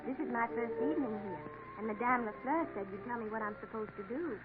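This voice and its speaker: An actress in a 1950s radio drama playing a naive eighteen-year-old girl who speaks softly and hesitantly. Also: a little high, fast, natural voice. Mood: timid. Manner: hesitant, soft, questioning. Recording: tinny old radio broadcast with audible hiss.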